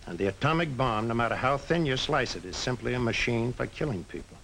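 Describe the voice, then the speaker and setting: An actor playing a sober, principled small-town man in a 1950s film drama, speaking earnestly and deliberately on an old soundtrack. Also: medium pitch, animated, vocal fry, a bit bright, a little hiss or hum, rough recording. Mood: grave, earnest.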